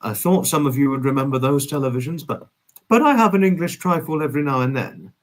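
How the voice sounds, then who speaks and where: This is a man in his twenties hosting a casual livestream. He greets and answers viewers with effusive, old-fashioned politeness. His phrasing is wordy and formal, and he tends to circle back and repeat what he has just said. His pitch sits in an ordinary middle range for a man. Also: animated, fast. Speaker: livestream host.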